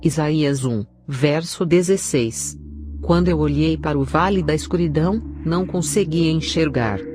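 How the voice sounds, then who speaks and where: A woman with a Brazilian accent reads a dramatic religious testimony as its narrator. Her voice sits quite low for a woman. Prominent music plays behind her scripted delivery. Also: moderate pace, a little mellow.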